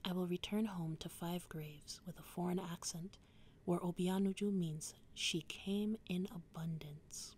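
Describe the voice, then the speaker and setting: A young woman whispering softly through a slow ASMR poetry reading, drifting close to and away from the microphone. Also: lowish voice, fairly even intonation, husky. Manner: soft unvoiced whispering, varied mic distance.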